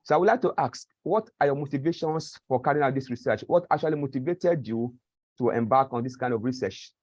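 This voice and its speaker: A man's voice: mid-range voice, fairly quick, a little mellow, no room sound. A male researcher answering questions on a video call, earnest and constantly saying "you know". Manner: earnest, leans heavily on the filler "you know". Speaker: award-winning researcher and webinar panelist. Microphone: video call microphone. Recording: slightly dull, lo-fi call audio.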